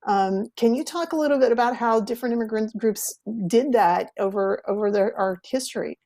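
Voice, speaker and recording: A woman's voice: on the high side, fairly quick, dark tone. A woman moderating a panel discussion, encouraging and steering the conversation with her questions.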